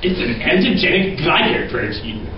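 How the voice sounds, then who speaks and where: A young man playing an excitable scientist puppet, lecturing theatrically in an amateur educational show. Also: fairly high pitch, normal speed. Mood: keen, lecturing. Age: young adult. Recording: very echoey room, distant dull noisy microphone.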